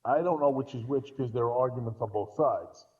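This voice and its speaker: A man's voice: medium pitch, a little fast, phone-quality sound. A man in a casual podcast discussion, telling things in a rambling way with repeated false starts. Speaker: podcast guest co-commentator.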